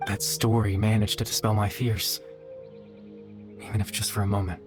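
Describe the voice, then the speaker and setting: An actor playing a gentle, weary young man in a video game, speaking in a soft, measured, wistful way. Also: fairly low pitch, flat delivery, over music, lightly acted. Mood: wistful, tender. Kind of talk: dramatic video game dialogue.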